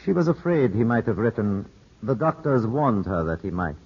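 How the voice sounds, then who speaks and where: An actor playing a courteous, evasive Italian lawyer in a formal, measured 1950s radio drama style. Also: male voice, on the low side, sing-song, husky, exaggerated voice. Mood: grave, composed. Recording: muffled, scratchy 1950s radio broadcast.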